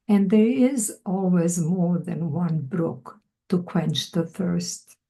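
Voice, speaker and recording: Older woman, slow and mellow. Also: on the low side, creaky, low-quality recording. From Croatia. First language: Serbo-Croatian. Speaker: featured poet. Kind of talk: poetry reading, then conversational Q&A. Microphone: video call.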